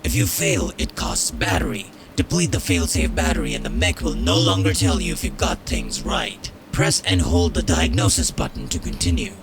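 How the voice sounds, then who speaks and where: A gruff, callous sergeant in a video game, very low and gravelly, swinging widely in pitch. He speaks bluntly and mockingly, like a commander with no patience, over music. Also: huge pitch swings, normal speed, hardly any pauses, exaggerated voice. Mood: stern, instructive. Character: gruff, foul-mouthed, callous male military medical sergeant. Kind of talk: game character dialogue.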